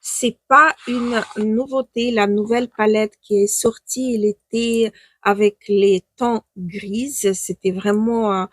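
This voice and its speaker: A young woman with a Ukrainian accent, chatting casually about eyeshadow palettes on her beauty channel, pausing often with "euh" and restarting her sentences. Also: mid-range voice, steady tone, no room sound. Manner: chatty, with frequent hesitations and restarts. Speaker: beauty vlogger. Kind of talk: casual makeup review.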